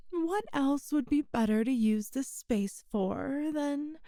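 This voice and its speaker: A mellow, high female voice, very close and dry, a woman performing a possessive boss in an intimate ASMR roleplay. She is coy and teasing, lingering over her words as she flirts with the employee she adores. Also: no room sound. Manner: slow, coy, teasing, breathy pauses.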